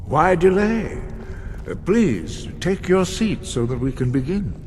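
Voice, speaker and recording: An actor playing a weary, disapproving elderly monk elder, solemn and measured, in a fantasy game. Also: male voice, high voice, sing-song, a little hiss or hum, full character voice. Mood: patient, urging. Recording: prominent game music behind.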